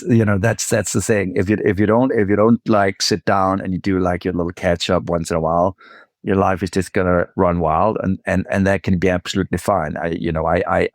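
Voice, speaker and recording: A man, a guest author on a podcast, talking casually in halting stops and restarts. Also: low-pitched, no room sound, clean studio sound. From Denmark. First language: Danish. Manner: halting, repeats words, swears casually.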